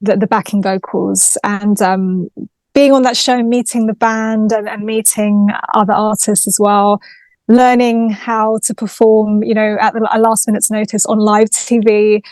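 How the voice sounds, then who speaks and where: A woman's voice: medium pitch, little pitch movement, measured pace, a little mellow, no echo. A chatty, articulate woman from London, raised bilingual in Greek, talking about her career as a singer-songwriter in a casual interview over a video call.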